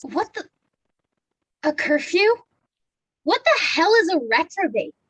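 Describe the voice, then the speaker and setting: Teenage girl, woman on stage: sassy, confrontational, very high voice, drawn-out delivery, noir comedy. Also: big pitch swings, fairly bright tone, full character voice. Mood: insolent. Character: brash, insolent, mouthy teenage street girl.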